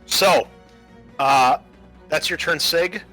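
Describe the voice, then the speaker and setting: A man's voice: a little high, drawn-out words, pushed and bright, faint music behind. A man acting as game master, narrating the scene and explaining the rules of a tabletop roleplaying game.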